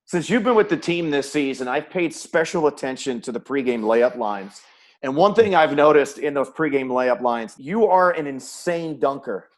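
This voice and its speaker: A man's voice: mid-range voice, lively intonation, moderate pace. A middle-aged American man hosting a conversational sports interview. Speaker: sports interview host, play-by-play announcer.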